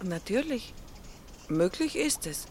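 A woman's voice: medium pitch, sing-song, a little mellow, husky, heavily acted. An actress playing a playful, flirtatious Slovak woman biologist who teases and halts while searching for words. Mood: teasing. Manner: teasing, halting word searches.

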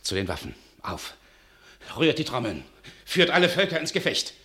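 A man playing a fiery, impetuous noble warrior in a classical radio drama, declaiming forcefully. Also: mid-range voice, huge pitch swings, moderate pace. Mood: rallying. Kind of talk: classical German stage-style radio drama. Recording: roomy echo, faint noisy ambience.

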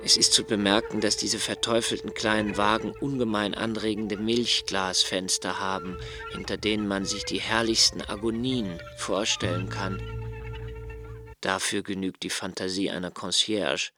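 An actor playing an anxious, brooding young writer in a literary radio drama monologue, intense and deliberate in delivery. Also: male voice, on the low side, natural voice. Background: music.

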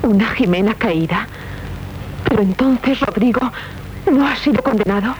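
A woman's voice: fairly high pitch, normal speed, darkish tone, lo-fi sound, slight background noise, natural voice. An actress with a Castilian accent playing a proud, bitter young noblewoman in a 1970s radio drama, declaiming solemnly and theatrically. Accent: Castilian Spanish.

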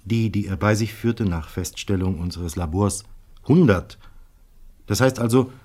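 Dutch police inspector, radio crime drama, lowish pitch, courteous, measured, shrewd, formal. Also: male voice, darkish tone. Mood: explaining, regretful. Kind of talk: 1970s German radio play acting.

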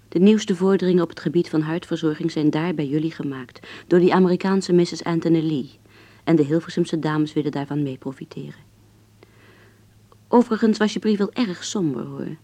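A woman's voice: low voice, mellow, dry recording. An actress playing an affectionate young Dutch woman in a radio drama, voicing a letter in a teasing, intimate manner.

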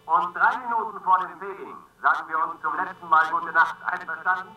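A breezy, joking mission controller over a radio link. The voice is high for a man and very bright. It comes through dull and lo-fi with a faint hiss. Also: brisk. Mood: jovial. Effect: radio transmission. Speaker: radio operator.

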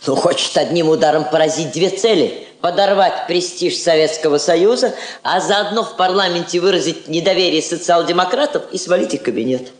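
A woman's voice: lowish voice, fairly quick, natural voice. An actress playing a poised, shrewd middle-aged Soviet woman ambassador, tender but resolute, in theatrical radio drama style. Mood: shrewd, calculating. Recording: roomy 1960s radio play recording.

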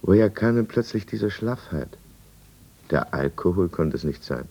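An actor playing a weary middle-aged businessman who narrates his decline in a 1950s German radio drama, measured and reflective. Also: sits low, lively intonation, vocal fry, dark tone, breathy edge, full character voice. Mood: brooding, troubled.

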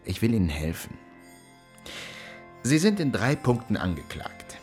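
An actor playing a genial but cynical older district attorney in a German radio drama, jovial and coaxing with a threat underneath. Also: male voice, on the low side, sing-song, measured pace, faint music behind. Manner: jovial, coaxing, tag questions, veiled threats.

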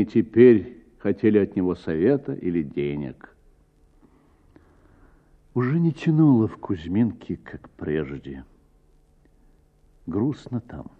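A man narrates literary prose in a low, highly animated voice. He phrases it dramatically and with measure, stretching out words as a stage actor would on radio. The sound is thin and band-limited, like a phone line. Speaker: actor reading a short story as narrator.

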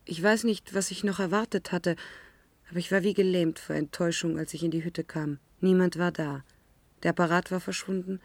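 An actress playing an anguished, isolated young woman who narrates her ordeal in a German science-fiction radio drama, deliberate and dramatic. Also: on the low side, fairly even intonation, dry recording. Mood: bleak, resigned.

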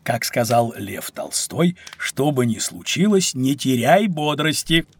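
Animated, kindly uncle. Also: male voice, medium pitch, measured pace, breathy edge. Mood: explanatory, kindly. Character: kindly, knowledgeable uncle teaching children. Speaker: storyteller uncle explaining to children. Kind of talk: scripted educational audio drama.

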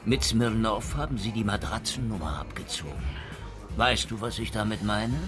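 Man playing sardonic low-voiced hard-boiled private eye. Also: moderate pace, over music, lightly acted. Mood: grim, accusing. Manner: sardonic, threatening, clipped tough-guy delivery. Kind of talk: noir radio drama acting.

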